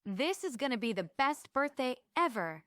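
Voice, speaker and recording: Woman as playful teen girl, bright high voice, lively swings, theatrical, musical script. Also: moderate pace, dry recording, studio quality. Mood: playful, excited. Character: playful, dreamy thirteen-year-old girl with star dreams.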